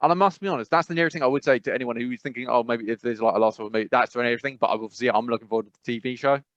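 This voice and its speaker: An English man chatting on a podcast, rambling through his thoughts and stressing words by repeating them. His voice sits at an ordinary pitch. The recording is dry and a little muffled. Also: rapid-fire, dry recording. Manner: rambling, animated, emphatic repetition. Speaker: podcast co-host. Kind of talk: casual chat.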